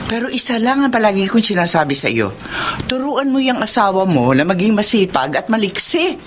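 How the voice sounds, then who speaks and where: A woman's voice: medium pitch, big pitch swings, brisk, fairly bright tone, slight background noise. A woman playing a nagging, easily irritated older mother in a Filipino radio drama, scolding and sarcastic.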